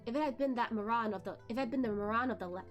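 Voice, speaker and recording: A woman's voice: a little high, rapid-fire. A woman reading a novel aloud as host, narrating expressively into a close microphone. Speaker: host of a novel read-along. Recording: faint music under the voice.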